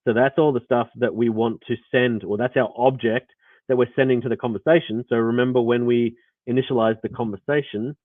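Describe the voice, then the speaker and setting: A man's voice: medium pitch, no room sound. Australian man, tinny lo-fi audio. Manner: rambling, many fillers and restarts. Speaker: developer presenting. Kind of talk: live coding walkthrough. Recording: tinny phone-like audio line.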